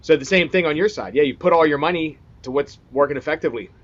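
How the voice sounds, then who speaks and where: A man with a fairly high voice chats casually as an interviewer. He is informal and a bit halting, and the sound is slightly dull and lo-fi. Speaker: interviewer, digital marketer. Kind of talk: casual chat.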